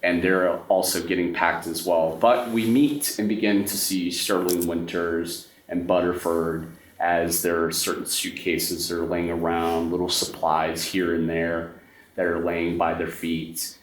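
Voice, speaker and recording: A man running a tabletop horror game as game master, narrating in a measured storytelling way. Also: deep voice, little pitch movement. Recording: echoey room, mic at a distance, faint music.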